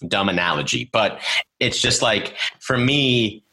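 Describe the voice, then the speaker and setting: A man's voice: lowish voice, measured pace, sharp tone, breathy edge, rough recording. Joking male podcast co-host. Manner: joking, swears, self-deprecating. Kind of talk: casual banter.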